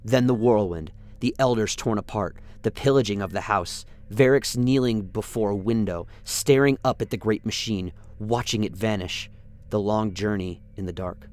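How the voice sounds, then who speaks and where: A man's voice: lowish voice, normal speed, darkish tone. A man narrating a science-fiction radio play in a dramatic, scripted storytelling style. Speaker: narrator, lore reader.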